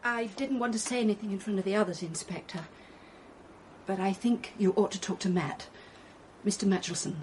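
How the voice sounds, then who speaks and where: A woman's voice: medium pitch, steady tone, brisk, a little mellow, slight background noise, close to an everyday voice. An actress playing an anxious, upset woman suspect pleading her case in a radio mystery drama. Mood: confiding.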